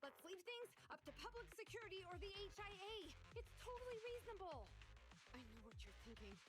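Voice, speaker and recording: A jittery young woman engineer in a video game. Her voice is very high and she stumbles over her words while defending herself. A game score plays underneath. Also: sing-song, quick pace, music in the background. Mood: defensive. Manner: stammering, defensive.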